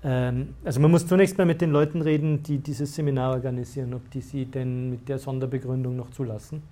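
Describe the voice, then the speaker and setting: A man's voice: mid-range voice, fairly even intonation, fast talker, warm tone. A man, a university lecturer, explaining study rules to students in a lecture room, with many fillers and restarts. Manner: hesitant, many fillers and restarts, laughs at times.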